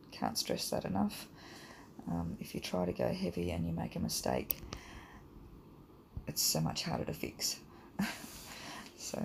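Croaky woman teaching drawing, rambling. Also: on the low side, a little hiss or hum, rough recording. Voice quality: croaky, gravelly. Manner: relaxed and rambling, many ums. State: croaky, hay fever. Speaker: art teacher. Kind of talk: casual drawing tutorial voice-over.

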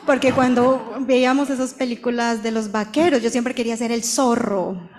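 A woman's voice: on the high side, fairly even intonation, normal speed, slight background noise. A woman interpreting a talk live before a hall audience, fluent, sometimes restarting a word. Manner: fluent, sometimes restarts and lifts her voice at light moments. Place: large hall with audience, indoors.

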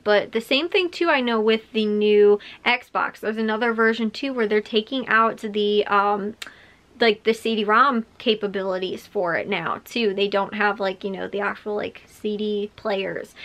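A woman's voice: medium pitch, a bit bright. A woman around thirty chats casually to camera as a vlogger, rambling with many filler likes and chuckling as she talks. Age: late 20s to early 30s. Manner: rambling, frequent filler "like", chuckles while talking. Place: small bedroom or craft room, indoors.

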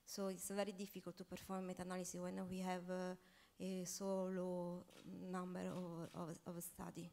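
A woman on a conference panel answering questions, speaking haltingly with many fillers and non-native phrasing, on a handheld mic in a large hall. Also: lowish voice, monotone, dark tone. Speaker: panelist researcher. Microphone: handheld microphone.

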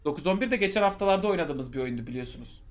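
A man's voice: fairly high pitch, lively intonation, racing. Man reading a game aloud on stream. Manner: joking asides between narration. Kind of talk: reading a visual novel aloud with commentary. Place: home streaming room. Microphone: headset.

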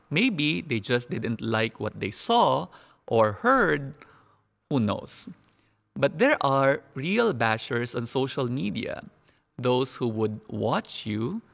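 A young priest preaches slowly and deliberately, leaning up in pitch on the words he wants to land. His voice carries a gravelly edge, picked up on a headset mic. The sound rings with the long reverb of a church hall. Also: fairly bright tone. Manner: slow, measured preaching with rising emphasis on key words. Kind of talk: homily to a live-streamed mass. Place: church sanctuary, indoors.

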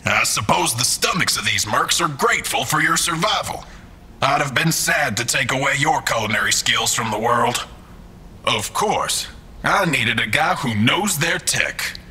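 Smug, bright-voiced, theatrical villainous boss. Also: male voice, medium pitch, animated, measured pace, breathy edge, faint music behind, exaggerated voice. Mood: taunting. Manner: theatrical, falsely polite, chuckling condescension. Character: smug, mocking male mastermind employer as hologram. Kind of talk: scripted audio drama acting.

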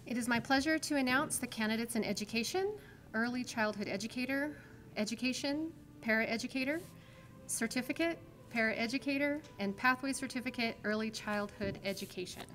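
A woman formally reading out graduate names at a commencement, slow and measured. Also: on the high side, a bit bright. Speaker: commencement name reader. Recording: with music in the background.

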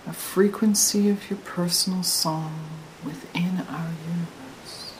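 Slow, hushed woman guiding meditation. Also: lowish voice, rough recording. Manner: soothing, slow, often breathy near-whisper. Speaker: meditation guide. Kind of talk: guided meditation, hypnotic trance induction. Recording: hissy recording with steady noise.

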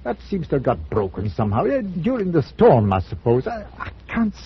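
An actor playing a plain-spoken, unflappable ship's captain, terse and understated, in a 1940s radio drama. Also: male voice, a little high, wildly swooping pitch, fairly quick, breathy edge, exaggerated voice. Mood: matter-of-fact. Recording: noisy, muffled 1940s radio recording.

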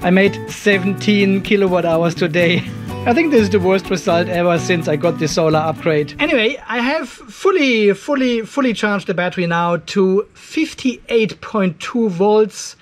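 A man's voice: sits high, expressive, normal speed, faint music behind. An older man gives casual, chatty technical commentary for a video, explaining things informally step by step. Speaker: host of off-grid DIY channel. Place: cluttered garage workshop, indoors.

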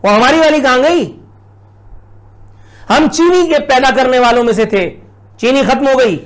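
A man's voice: extremely high pitch, moderate pace. A middle-aged Pakistani man giving indignant, sarcastic political commentary full of rhetorical questions. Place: indoors, plain blue backdrop.

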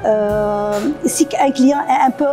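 Casting: woman in her fifties, Lebanese accent, soft mellow tone, interview guest, faint music behind. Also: a little high, slow pace. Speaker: guest, café co-owner. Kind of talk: conversational interview answers. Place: indoor café.

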